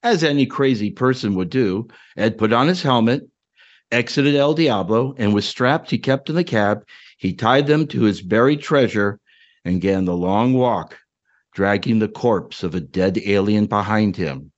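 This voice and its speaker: A man's voice: on the low side, lively intonation, normal speed. Man storytelling, slightly dull audio. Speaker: podcast host reading his own story. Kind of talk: dramatic story narration and casual chat.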